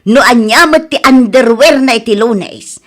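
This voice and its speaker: A woman's voice: on the high side, unhurried. A woman with an Ilocano accent playing a snide, envious, stubborn village housewife in a comedy radio drama, scoffing and insistent.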